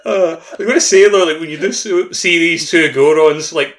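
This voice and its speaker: A man's voice: fairly high pitch, moderate pace. A man co-hosting a podcast in casual chat, very informal and loosely phrased. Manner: informal, frequent use of "like". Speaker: podcast co-host. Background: background music.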